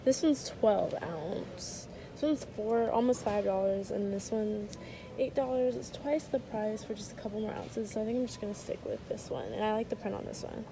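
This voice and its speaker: A woman's voice: mid-range voice, moderate pace, gravelly, background noise. A young woman in her twenties vlogging casually to a handheld phone camera, chatting while she shops. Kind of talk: casual vlog narration. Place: store aisles, later a parked car. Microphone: phone camera held at arm's length.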